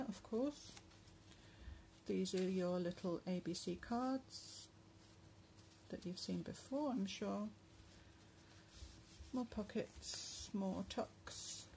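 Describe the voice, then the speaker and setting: A woman talks casually while showing a handmade journal. She speaks softly, with many pauses and fillers, and her voice is going hoarse. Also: medium pitch, slight background noise, low-quality recording. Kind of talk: casual craft flip-through narration. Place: indoors at a table at home. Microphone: phone camera above the table.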